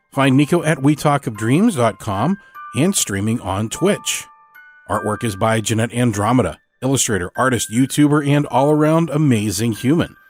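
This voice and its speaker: A man, a podcast producer, reading a scripted outro with credits. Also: medium pitch.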